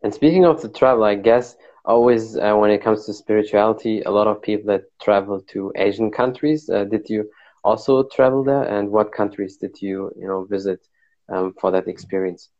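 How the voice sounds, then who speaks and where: A man asks questions in a very deep voice, chatty and friendly. His audio comes through dull and lo-fi, like a compressed video call. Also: moderate pace, darkish tone. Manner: friendly, chatty, many fillers. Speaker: podcast host. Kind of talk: casual interview questions.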